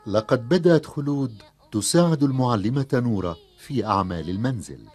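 A man's voice: medium pitch, expressive, a little fast, darkish tone, breathy edge. Male storybook narrator with mid-range voice. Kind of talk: scripted storytelling narration for a children's cartoon.

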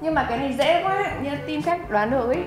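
A woman's voice: sits high, expressive, fast. A woman in her twenties bantering casually as a quiz contestant. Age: 20s. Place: indoor studio, seated at table. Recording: very echoey, music underneath.

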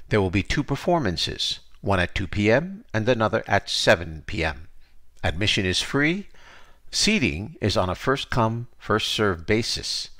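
Low-pitched older American man, podcast host. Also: fairly bright tone. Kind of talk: scripted podcast announcement.

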